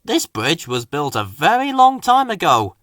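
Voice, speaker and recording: Man voicing a bright, announcing engine driver. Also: fairly high pitch, huge pitch swings, unhurried, dry recording, studio quality, heavily acted. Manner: announcing, explanatory. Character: announcing male engine driver, dutiful tour guide.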